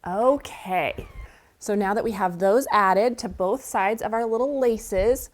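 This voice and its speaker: A woman's voice: mid-range voice, slow. A woman from the hosting a quilting tutorial. She talks casually as she works and explains each step, and she sounds a little stuffy from a cold. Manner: chatty, walks through steps while working. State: has a cold, sniffling. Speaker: quilting show host. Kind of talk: friendly sewing tutorial. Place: sewing studio indoors.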